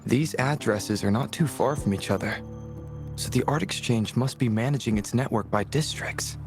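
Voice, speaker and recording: A mid-range male voice from an actor plays an analytical investigator in a game cutscene. He is measured and matter-of-fact, with music clearly behind him. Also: mid-range voice, close to an everyday voice. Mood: focused, analytical. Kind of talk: video game cutscene acting.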